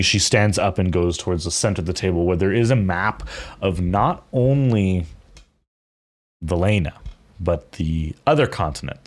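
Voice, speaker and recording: A man improvising narration as a tabletop game master, in a halting, thoughtful way with pauses. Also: low-pitched.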